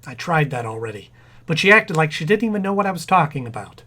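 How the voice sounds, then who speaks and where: A man playing an excitable, flustered husband expecting a baby, stammering frantically in old-time radio comedy style. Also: a little high, fast talker, exaggerated voice. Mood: bashful, puzzled.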